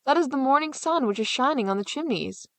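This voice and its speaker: A woman voicing a hard, selfish stepmother from a fairy tale, bossy, sharp-tongued and scornful. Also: high-pitched, expressive, a little fast, no echo, voice pushed up. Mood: scolding.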